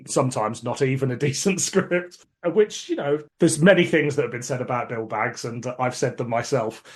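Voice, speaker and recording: A man's voice: medium pitch, moderate pace. A man hosting a podcast, giving background in a casual, informative and explanatory way. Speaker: podcast host. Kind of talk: casual, informative review chat.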